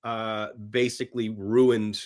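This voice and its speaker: A man's voice: lowish voice, level tone, slow pace, a little mellow. Emphatic male film storyteller. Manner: emphatic storyteller, often voicing quotes. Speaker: podcast guest, film industry veteran. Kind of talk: casual anecdotal film-history conversation.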